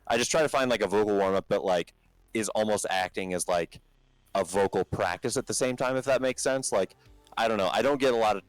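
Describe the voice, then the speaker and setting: A man with a low talking voice, a band's vocalist as a podcast guest, chatting casually and rambling with many fillers and restarts. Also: brisk, smooth, dry recording, faint music behind, rough recording.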